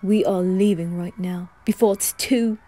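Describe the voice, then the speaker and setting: A woman voicing an irritable young boy, speaking in tense, low, hurried whispers. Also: fairly even intonation, dark tone. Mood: urgent. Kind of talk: ASMR roleplay fan audio drama.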